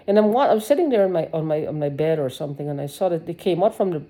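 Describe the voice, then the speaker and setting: A woman's voice: low voice, expressive, rapid-fire, warm tone. A woman giving a rambling personal video monologue, agitated and sarcastic, swearing and restarting her sentences. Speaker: vlogger.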